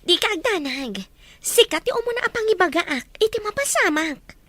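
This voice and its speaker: A woman acts out a scheming, sharp-tongued melodrama schemer. Her voice sits extremely high and swings dramatically up and down, in the manner of radio drama. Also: big pitch swings, moderate pace, no room sound, full character voice. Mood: conspiratorial. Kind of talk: radio-style melodrama acting.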